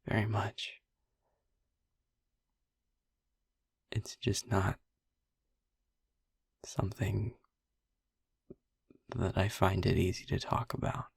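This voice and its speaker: Man playing a low, husky, haunted vampire boyfriend. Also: no room sound, studio quality, heavily acted. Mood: tender, confiding. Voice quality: breathy, husky, often near a whisper. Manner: halting, soft, hesitant, with long pauses. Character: brooding, tender male vampire boyfriend, haunted by his turning. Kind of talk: intimate boyfriend roleplay audio drama.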